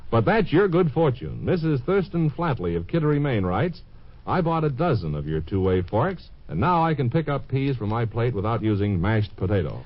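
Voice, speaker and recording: Brash male bargain pitchman, a comedian's act, swooping emphatic delivery, mock-earnest, muffled vintage radio sound. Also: moderate pace. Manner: emphatic, deadpan salesmanship. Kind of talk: 1950s radio ad parody. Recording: muffled 1950s radio broadcast.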